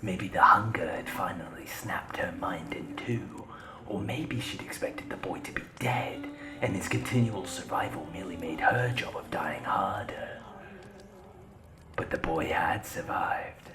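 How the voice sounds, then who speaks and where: A man playing a solemn, mysterious campfire storyteller in a fantasy audio drama, breathy and theatrical, with archaic phrasing. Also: low voice, unhurried, music in the background. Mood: grave. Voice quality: breathy, whispery. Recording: roomy, echoing voice.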